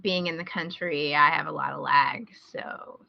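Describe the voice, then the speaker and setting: A woman's voice: sits low, fairly even intonation, moderate pace, vocal fry, dry recording, lo-fi sound. A woman with an American accent chatting casually as a podcast co-host, recommending things she enjoys. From rural Midwest United States.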